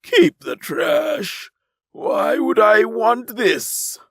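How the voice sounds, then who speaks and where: A man voicing a pompous, arrogant male alien with a grandiose, drawn-out, mocking manner. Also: sits high, big pitch swings, creaky, no echo, clean studio sound, voice pushed up, full character voice. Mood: contemptuous.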